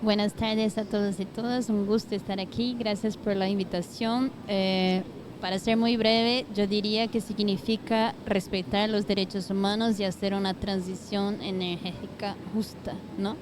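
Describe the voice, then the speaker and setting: A woman's voice: medium pitch, little pitch movement, moderate pace, background noise. A woman speaking on a conference panel, friendly and concise, rounding off her points with tag questions. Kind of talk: panel remarks. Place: conference venue.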